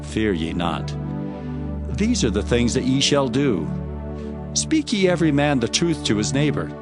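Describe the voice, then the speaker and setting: A man's voice: mid-range voice, big pitch swings, a little slow. A man narrating scripture dramatically in a solemn, declamatory manner. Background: music.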